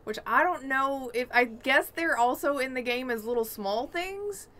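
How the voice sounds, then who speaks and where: Bright-voiced woman in casual gaming chat. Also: high-pitched, lively intonation, normal speed. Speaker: podcast co-host.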